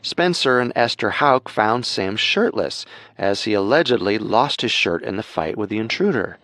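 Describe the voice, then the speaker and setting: A man's voice: fairly low pitch, expressive, moderate pace, a bit bright, dry recording. A man hosting a true-crime show with scripted narration. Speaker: host and narrator.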